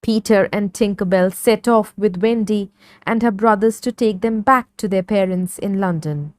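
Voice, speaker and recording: A woman narrating a scripted children's story in a measured, clearly phrased read-along manner. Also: mid-range voice, darkish tone, no room sound, clean studio sound.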